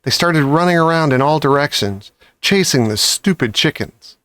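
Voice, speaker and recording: A man's voice: on the high side, lively intonation, normal speed, a bit bright, no echo. A man reading a children's story aloud as scripted narration. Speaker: audiobook reader.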